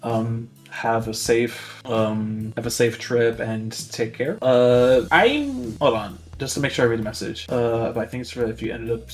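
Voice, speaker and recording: A man's voice: mid-range voice, animated, measured pace, hardly any pauses, soft background music. A man playing a tabletop roleplaying game, narrating his character's actions in a casual, improvised way.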